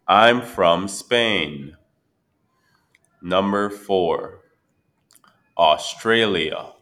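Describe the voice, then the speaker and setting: Male test reader, very low voice, slow deliberate repetitions. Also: fairly bright tone. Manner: repeats each item deliberately. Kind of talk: slow scripted exam dictation.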